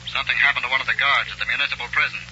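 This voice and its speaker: An actor playing an urgent, official radio dispatcher in a 1930s space serial. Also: male voice, mid-range voice, fairly even intonation, rapid-fire, lightly acted. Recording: muffled, noisy 1930s radio recording.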